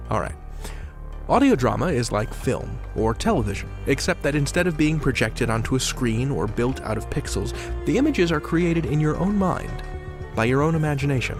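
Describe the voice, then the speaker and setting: A man hosting an audio drama, narrating a scripted introduction with theatrical, deliberate phrasing and wry asides. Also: mid-range voice, creaky. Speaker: podcast host, narrator. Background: music.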